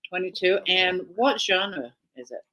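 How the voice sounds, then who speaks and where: A woman's voice: lowish voice, animated, unhurried, pushed and bright. A woman hosting a live video chat with an author, upbeat and chatty, greeting viewers by name and asking questions. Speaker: host. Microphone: video call.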